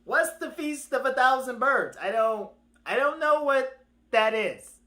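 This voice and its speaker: A woman voicing a sly, pushy male tortoise in an animated folktale, talking insistently to persuade. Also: high-pitched, unhurried, voice pushed up, exaggerated voice. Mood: curious, pleading. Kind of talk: animated oral storytelling.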